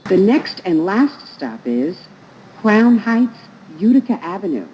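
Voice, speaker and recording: A woman's voice: mid-range voice, sing-song, slow pace, mellow. A woman reading scripted transit announcements in a formal, phrase-by-phrase manner, played over train speakers. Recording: muffled, noisy recording inside train. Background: train ambience noise.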